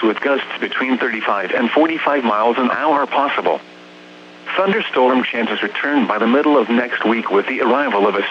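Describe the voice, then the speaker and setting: Muffled weather radio guy, bit of hum and noise, reads the forecast all formal and impersonal. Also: medium pitch. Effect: radio broadcast. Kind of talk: scripted weather bulletin reading.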